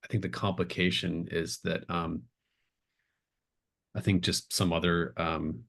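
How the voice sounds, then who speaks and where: A man's voice: deep voice, flat delivery, a little slow, gravelly. A man acting as game master, casually narrating a tabletop game, encouraging and often thinking aloud.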